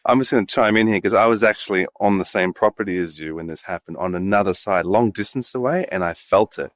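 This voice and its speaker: Deep voiced guy chatting casually as interviewer, really dry sound with no room. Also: quick pace, no echo. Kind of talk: casual conversation.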